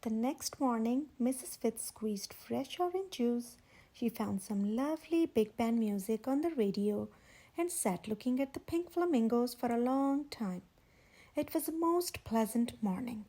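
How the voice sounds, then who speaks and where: A woman reading a children's picture book aloud in a measured, expressive storytelling manner. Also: fairly high pitch. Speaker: children's story channel host and reader. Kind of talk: scripted read-aloud narration of a picture book.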